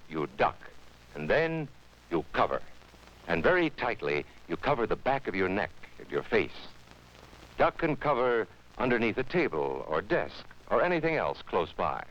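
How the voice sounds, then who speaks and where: A man's voice: low voice, fairly bright tone. An American man narrating a 1950s educational film, speaking to children in an instructive, emphatic manner. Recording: dull vintage 1950s film soundtrack.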